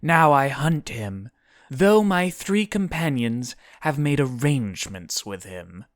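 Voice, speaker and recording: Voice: mid-range voice, expressive, slow pace, no room sound, clean studio sound, pitched above the performer's own voice. A narrator voicing a young demon-born girl, eager and determined, with earnest, formal phrasing.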